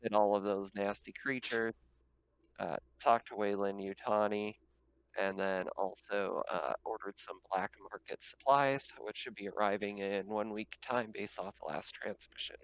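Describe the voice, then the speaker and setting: A man's voice: lowish voice, unhurried, creaky, a bit bright, smooth, dull audio. A man running a tabletop roleplaying game as game master, talking casually and thinking aloud as he goes.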